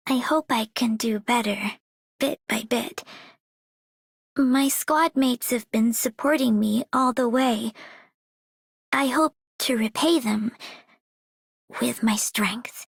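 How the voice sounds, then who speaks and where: Modest young squad girl, high pitch, very slow, soft-spoken, game voice lines. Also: gravelly, husky, dry recording, studio quality, exaggerated voice. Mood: shy, grateful. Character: gentle, modest young female squad member.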